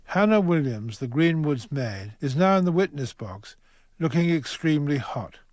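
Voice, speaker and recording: A man narrating a radio courtroom drama in a measured, literary storytelling manner, observant and poised. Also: on the high side, sing-song, a little mellow, dry recording. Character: dry, observant narrator setting courtroom scenes.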